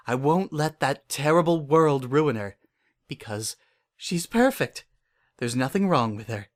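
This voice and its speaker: A man acting a paranoid, unhinged, obsessive father. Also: on the high side. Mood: fervent, fragile. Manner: intense, repetitive, brooding, swinging between hushed and frantic. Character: paranoid, obsessive, unhinged father protecting his pony daughter. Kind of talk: dramatic first-person horror fan fiction narration.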